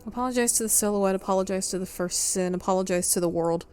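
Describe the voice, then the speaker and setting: A woman's voice: medium pitch, fast talker, darkish tone, faint music behind. A woman playing a visual novel and reading its lines aloud dramatically, as in a playthrough. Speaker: game player reading aloud.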